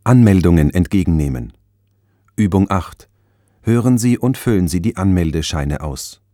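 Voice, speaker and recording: A man narrating a language course, announcing scripted exercises in a measured, instructional manner. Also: deep voice, lively intonation, mellow, dry recording, clean studio sound.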